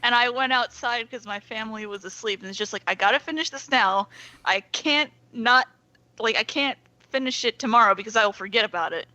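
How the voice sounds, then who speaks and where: A woman's voice: fairly high pitch, brisk, bright, forceful tone, no room sound, rough recording. A young adult woman chatting casually as a guest on a podcast. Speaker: podcast guest, YouTuber and artist. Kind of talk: casual chat.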